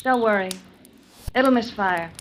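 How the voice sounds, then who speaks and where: An actress playing a scheming, unfaithful wife who feigns devotion, with urgent, controlled, theatrical delivery in an old-time radio suspense drama. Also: female voice, on the high side, unhurried, close to an everyday voice. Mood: cool, conspiratorial. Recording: noisy vintage radio broadcast recording.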